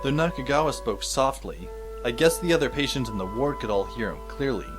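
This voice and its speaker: Wry, expressive teenage narrator played by a man. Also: mid-range voice, fairly quick, over music, natural voice. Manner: dry, sardonic. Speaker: narrator and lead actor in a fan audio drama. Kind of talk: scripted first-person narration.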